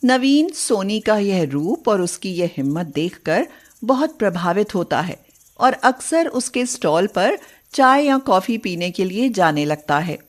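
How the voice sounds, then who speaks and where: A woman narrates a storybook tale at a slow, measured pace. Her pitch sits in the middle of the female range, and the delivery is scripted storytelling. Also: sing-song.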